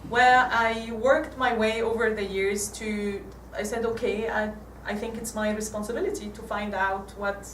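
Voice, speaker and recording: An Egyptian woman in her forties gives a church talk with slides, halting and restarting often. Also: mid-range voice, level tone, a bit bright, a little hiss or hum. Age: 40s. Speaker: guest speaker. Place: church hall. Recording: echoey hall from far off.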